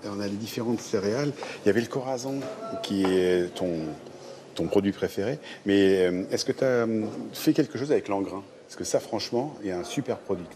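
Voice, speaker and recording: A man's voice: fairly low pitch, brisk, crowd noise. A man, a miller talking spontaneously in a TV report. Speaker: flour miller, interviewee.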